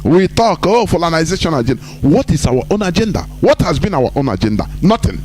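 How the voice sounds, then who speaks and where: A man hosting a live online broadcast, talking emphatically, rising high to stress points and dropping low for asides. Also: very animated, fast talker, darkish tone, slight background noise.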